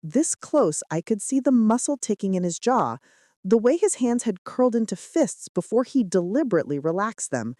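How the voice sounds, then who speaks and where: A woman's voice: mid-range voice, very animated, moderate pace, mellow, dry recording, studio quality. A woman reading scripted first-person romance fiction as an audiobook narrator, with dramatic, suspenseful delivery.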